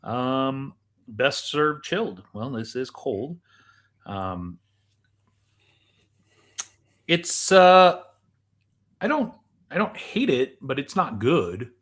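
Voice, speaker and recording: A man's voice: mid-range voice, sing-song, slow, fairly bright tone. A man co-hosting a show in casual chat as he tries snacks and drinks.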